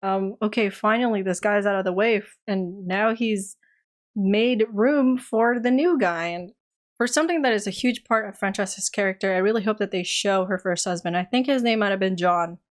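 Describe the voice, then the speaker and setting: A young woman giving an animated, exasperated review of a TV series in a casual vlog, her voice tiring. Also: medium pitch, normal speed. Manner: animated, exasperated, dramatic outbursts. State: voice getting tired.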